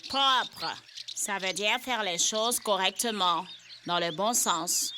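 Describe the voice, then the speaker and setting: A fussy female cartoon animal played by a woman, with a medium-pitched voice. She is prim and instructive, a stickler for order, over loud music. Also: slow pace, natural voice. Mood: prim, explaining. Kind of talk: dubbed children's educational cartoon.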